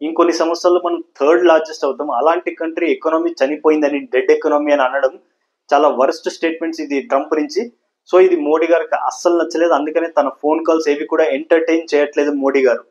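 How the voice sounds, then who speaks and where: Higher-pitched man explaining news. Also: fairly even intonation, fast, a little mellow, muffled recording. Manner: explanatory, mixes English words into speech. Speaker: host of a news explainer channel. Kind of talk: news commentary explainer to camera.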